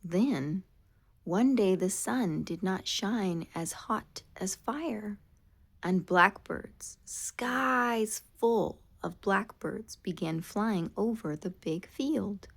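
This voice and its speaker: A woman reading a children's story aloud in a dramatic, expressive way, drawing out key words. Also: medium pitch, extremely slow, gravelly, husky. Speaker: storybook reader. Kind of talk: scripted children's storytime narration.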